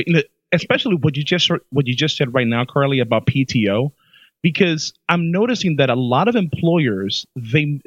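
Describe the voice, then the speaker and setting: Excitable man co-hosting a podcast. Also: medium pitch, husky, dry recording, muffled recording. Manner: excitable, talks loudly into the mic. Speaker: podcast co-host. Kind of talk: casual podcast interview.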